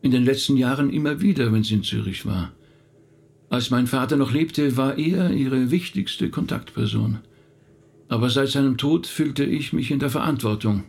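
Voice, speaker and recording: A man's voice: medium pitch, moderate pace, vocal fry, a little mellow, breathy edge. A male actor playing a middle-aged rabbi in a naturalistic radio drama, thoughtful, talkative and a little hesitant as he recounts family history. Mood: candid, reminiscing. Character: thoughtful male rabbi recounting his late relative's life.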